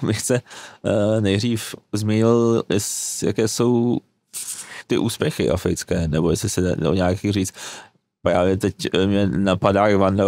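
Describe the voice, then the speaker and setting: A man in his thirties hosting a panel discussion in a small studio, asking questions haltingly while searching for his words. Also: lowish voice, darkish tone, no echo. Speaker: host. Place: small studio with wooden table. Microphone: desk mic on boom arm.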